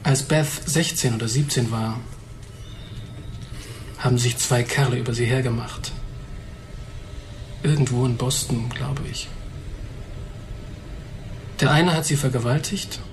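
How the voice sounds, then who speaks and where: An actor in a radio drama playing a heavyset young blind man, bitterly candid, telling things gravely and deliberately. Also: mid-range voice, noisy background, close to an everyday voice.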